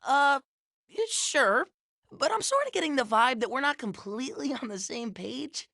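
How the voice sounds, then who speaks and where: A woman voicing an upbeat, friendly young boy hero in an animated game, with a casual, good-natured, awkwardly diplomatic way of talking. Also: high-pitched, moderate pace, no echo, clean studio sound, full character voice. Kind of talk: animated game cutscene acting.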